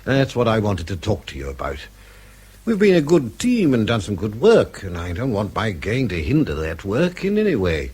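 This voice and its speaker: A man's voice: on the low side, huge pitch swings, normal speed, darkish tone, breathy edge, full character voice. An actor playing a kindly older British section chief in a radio drama, genial and fatherly in manner. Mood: genial, reassuring. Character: kindly, avuncular old outgoing section chief.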